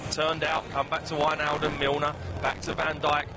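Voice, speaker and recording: Man giving football punditry over loud noise. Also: on the high side, monotone, normal speed. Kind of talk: live match commentary and analysis. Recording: noisy live outdoor broadcast.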